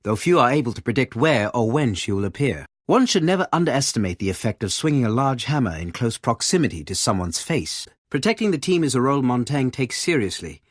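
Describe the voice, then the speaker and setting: Erudite male briefing director, lively intonation. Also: medium pitch, no echo, clean studio sound. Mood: composed, approving. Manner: formal, erudite, measured delivery. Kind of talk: scripted video game voice acting, analytical briefing.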